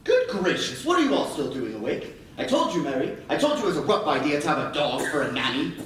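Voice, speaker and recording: A man's voice: on the high side, sing-song, quick pace, a bit bright. Pompous, fussy father, wildly swooping delivery. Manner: pompous, theatrical, fussily wordy. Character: pompous, fussy, flustered father chasing status. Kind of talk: theatrical stage comedy acting. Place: theatre stage. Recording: echoey stage recording, distant mic, faint noise.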